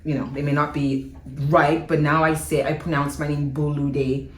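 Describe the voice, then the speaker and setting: A Nigerian-born woman with an accent that blends American and Nigerian English, a guest telling her story candidly with quick asides. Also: sits low, little pitch movement. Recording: echoey room.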